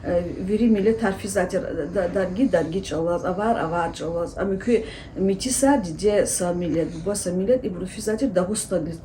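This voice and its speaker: A woman teacher gives long interview answers in a mellow, warm voice, stopping often to search for words. Her tone is explanatory and patient. Faint noise hums behind her. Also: fairly low pitch, moderate pace, low-quality recording. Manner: explanatory, hesitant with frequent fillers. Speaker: Lezgian language teacher and researcher.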